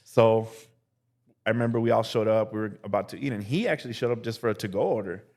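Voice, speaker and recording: A man's voice: lowish voice, fast talker. Laid-back young man telling stories. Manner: relaxed, heavy use of "like" and "dude". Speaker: podcast guest, elementary teacher. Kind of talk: casual storytelling chat.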